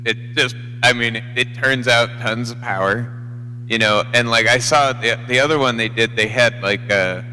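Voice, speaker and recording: A man's voice: medium pitch, steady tone, moderate pace, sharp tone. An American man chatting casually on a CB radio in a rambling, unpolished way. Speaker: CB radio operator. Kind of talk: casual chat. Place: live CB radio feed. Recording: noisy hum, long hollow reverb.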